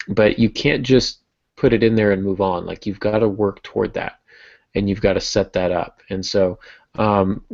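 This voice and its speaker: Male podcast co-host, low pitch, creaky, emphatic and repetitive, dry but dull call audio. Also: a little mellow, no room sound. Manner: emphatic, repeats key phrases. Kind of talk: casual discussion of writing. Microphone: online video call.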